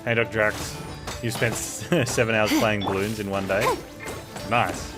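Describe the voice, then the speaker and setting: A man's voice: fairly low pitch, flat delivery, a little slow. A man giving casual live gameplay commentary, rambling as he thinks aloud. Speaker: game streamer. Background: game music.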